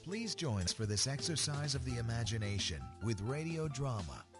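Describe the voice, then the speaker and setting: Man, radio stage presenter, lively intonation, deliberate dramatic delivery, music underneath. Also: mid-range voice. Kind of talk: scripted old-time radio style introduction.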